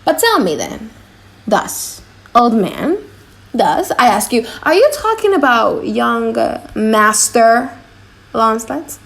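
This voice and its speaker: A woman's voice: on the high side, very animated, takes it slowly, gravelly, fairly bright tone, full character voice. A woman voicing a mischievous, cocky, chatty young male servant in a dramatized audiobook, teasing and mock-grand.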